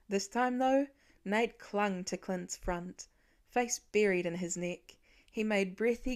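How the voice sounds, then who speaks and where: A woman narrates fiction in a medium-pitched voice. Her delivery is expressive scripted storytelling, in the manner of an audiobook reader.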